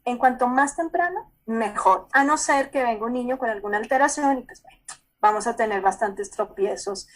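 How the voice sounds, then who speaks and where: A Colombian woman psychologist explaining calmly in a conversational way, often repeating words and checking in with the listener. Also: medium pitch. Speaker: psychologist speaker. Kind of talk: explanatory talk over video call.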